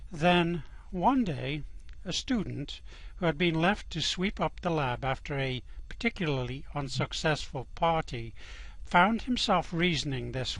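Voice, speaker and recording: A man plays a wry guidebook narrator in a comic space radio play. His voice is a little high, explanatory and scripted, recorded dry with no room sound. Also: fairly bright tone, no room sound, exaggerated voice, low-quality recording.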